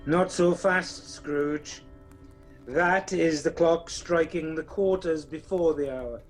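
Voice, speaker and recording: A man's voice: on the high side, takes it slowly, soft background music. A man acting as narrator of a radio play, telling a ghost tale in a dramatic, wry storytelling manner. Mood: suspenseful.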